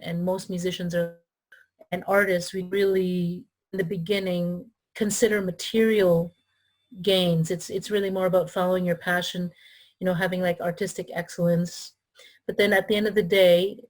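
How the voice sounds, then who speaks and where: A woman's voice: on the low side, a little mellow. A middle-aged woman from the Philippines, raised in Canada, chatting as an interview guest with frequent restarts. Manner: chatty, with restarts and light laughs. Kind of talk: casual interview talk.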